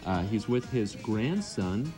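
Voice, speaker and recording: A man's voice: lowish voice, big pitch swings, takes it slowly. A man anchoring a local morning newscast from a studio, reading items and bantering lightly. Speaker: news anchor and reporter. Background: music bed, director's cues talking over him.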